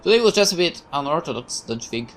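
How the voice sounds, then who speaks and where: A woman reading aloud as a naive young male soldier in a visual novel, speaking low and hesitantly. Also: sing-song, fast talker, slight background noise.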